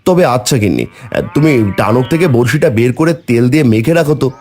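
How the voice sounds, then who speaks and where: A man's voice: mid-range voice, animated, quick pace, a little mellow, natural voice. Casting: male actor as doubtful village dad for cartoon, homely and hesitant, mid-range, backing music. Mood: hesitant, resolving. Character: doubtful village father, fond of fishing. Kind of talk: Bengali cartoon story acting.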